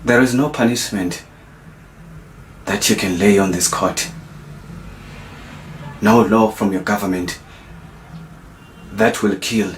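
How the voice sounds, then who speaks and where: Man acting a slow, low-voiced defiant freedom fighter. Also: a little hiss or hum. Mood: defiant, resolute. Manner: slow, deliberate, with long pauses. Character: defiant, resolute young male freedom fighter on trial. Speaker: actor. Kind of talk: dramatic monologue.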